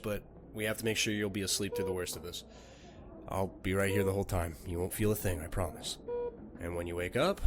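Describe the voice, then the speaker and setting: Casting: male actor as composed emergency doctor for audio drama, lower-pitched, gentle with patients, authoritative with the team. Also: natural voice. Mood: soothing, steady. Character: calm, reassuring male emergency doctor tending critical patient. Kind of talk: audio roleplay acting.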